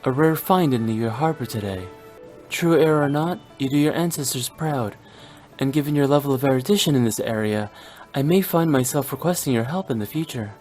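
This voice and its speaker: Low-voiced, poised lady official. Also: a little fast, a little mellow, faint music behind. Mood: composed, courteous. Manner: composed, courteous. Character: poised, gracious female civil official at a festival. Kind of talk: animated game dialogue.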